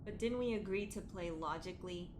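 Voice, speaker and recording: A woman's voice: on the low side, fairly quick, noisy background. A woman game streamer reading a horror game aloud and reacting expressively. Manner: expressive, exclaiming, swears when startled.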